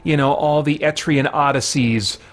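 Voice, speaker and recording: Higher-voiced man giving casual game commentary. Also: fairly even intonation, normal speed. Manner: conversational, thinking aloud. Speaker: host of a game review and playthrough series.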